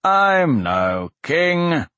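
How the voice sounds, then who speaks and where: A man voicing an older, stern and sardonic magistrate with measured, formal speech in a fantasy audiobook. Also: a little high, sing-song, bright, forceful tone, dry recording, pitched above the performer's own voice, exaggerated voice. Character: older grey-browed male magistrate, dry, stern, sardonic. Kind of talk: fantasy audiobook character dialogue.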